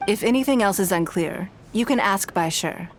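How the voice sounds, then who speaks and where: A woman playing a composed, gracious noblewoman of power in a video game, speaking with formal, measured politeness. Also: mid-range voice, animated, a little hiss or hum, close to an everyday voice.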